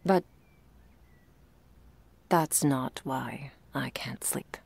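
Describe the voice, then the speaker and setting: A woman playing an affectionate, wry girlfriend in an intimate ASMR roleplay, talking softly and teasingly to her sleepy partner. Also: very deep voice, drawn-out words, gravelly, slightly rough, full character voice. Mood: wry, tired. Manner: soft, teasing, drifting between whispers and playful chatter.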